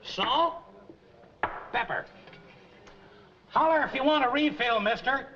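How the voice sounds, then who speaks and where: Casting: breezy 1940s diner waitress, slow delivery, crackly high voice, dull soundtrack. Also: female voice. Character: casual, chirpy diner waitress. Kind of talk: 1940s film acting.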